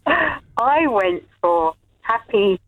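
A woman's voice: on the high side, sing-song, extremely slow, dry recording. A woman calling in to a radio show, chatting hesitantly with many restarts and fillers. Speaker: caller. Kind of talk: casual radio phone-in chat. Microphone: telephone. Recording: tinny phone line.

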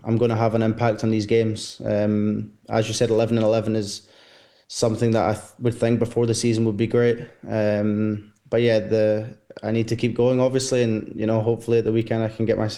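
A man's voice: lowish voice, dark tone. A young Scottish man with a Glasgow accent, a footballer answering interview questions hesitantly, with many fillers and repeated words. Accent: Glaswegian. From Scotland. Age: 20s.

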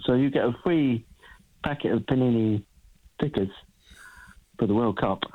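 A male radio caller telling a story in a wry way. His voice sits at a middling pitch. The line sounds dull and lo-fi, with the top end cut away. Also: normal speed.

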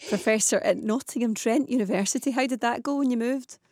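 A woman's voice: fairly high pitch, fairly quick, a little mellow, no room sound. Woman radio host, conversational, dry close sound. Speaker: radio presenter. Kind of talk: radio interview.

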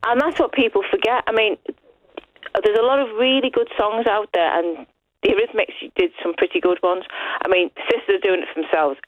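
A woman's voice: medium pitch, brisk, dry recording. Chatty woman on the phone. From Liverpool. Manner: chatty, animated storyteller. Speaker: caller. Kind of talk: phone-in chat. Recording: muffled phone line.

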